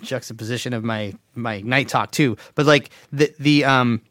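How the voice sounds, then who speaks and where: A man's voice: medium pitch, moderate pace, a bit bright, no echo, studio quality. A man appearing as a guest on a casual podcast, talking in a hesitant way and searching for words. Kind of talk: casual chat.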